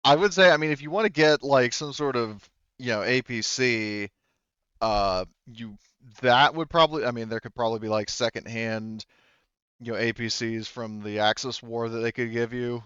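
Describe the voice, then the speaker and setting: A man's voice: medium pitch, normal speed, a bit bright, clear, resonant tone, dry recording, muffled recording. A man running a tabletop roleplaying game as game master, talking casually and hesitantly as he thinks aloud.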